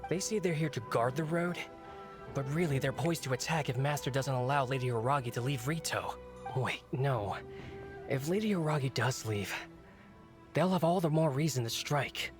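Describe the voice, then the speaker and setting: A man's voice: medium pitch, normal speed, music in the background, lightly acted. A man voicing an earnest loyal retainer. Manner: earnest, polite, slightly anxious. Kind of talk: game voice acting.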